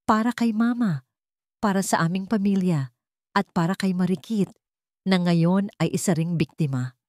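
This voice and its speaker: A Filipino woman narrates a dramatic story in the first person. Her voice sits on the low side and her delivery is solemn and emotive. The recording is close and dry. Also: a little mellow, no echo.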